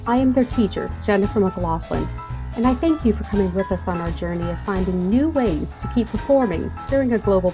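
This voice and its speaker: A woman, a drama teacher, giving a scripted podcast introduction as host. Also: mid-range voice.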